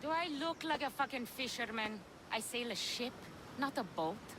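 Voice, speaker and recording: A woman playing a gruff, brash female pirate captain in a video game, blunt and given to salty swearing. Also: a little high, lively intonation, moderate pace, background noise, natural voice. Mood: brash, mocking. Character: gruff, foul-mouthed, swaggering female pirate captain of ancient Greece. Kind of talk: video game dialogue acting.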